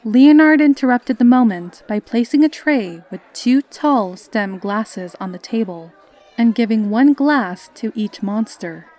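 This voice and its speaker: A woman's voice: fairly high pitch, animated, a little slow, a little mellow. A woman reading scripted story narration.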